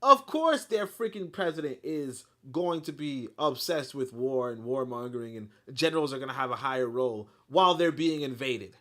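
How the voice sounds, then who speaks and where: A man reading a history book aloud, then breaking off into animated, blunt commentary with profanity and lots of fillers. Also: mid-range voice, moderate pace, hardly any pauses.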